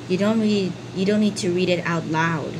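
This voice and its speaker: A woman's voice: fairly low pitch, fairly even intonation, moderate pace, noisy background. A woman reacting casually as she plays through a video game. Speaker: gamer commenting on a playthrough. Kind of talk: casual reactions over gameplay. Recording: loud game music behind voice. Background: video game music and dialogue.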